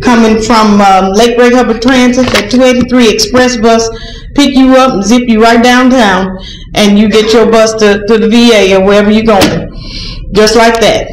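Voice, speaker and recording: A woman's voice: on the high side, fairly even intonation, moderate pace. A woman who rides the bus, talking in a rambling, folksy way during public comment at a board meeting. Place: large council chamber. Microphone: podium microphone, heard from a far camera. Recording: echoey chamber with background noise.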